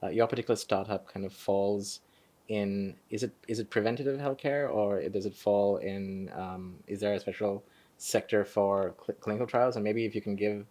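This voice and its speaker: A man hosts a podcast and introduces his guest. He hesitates often and fills gaps with "um". His voice sits somewhat low. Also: fairly even intonation, moderate pace, darkish tone. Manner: hesitant, many "um"s and restarts. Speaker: podcast host. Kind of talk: podcast interview.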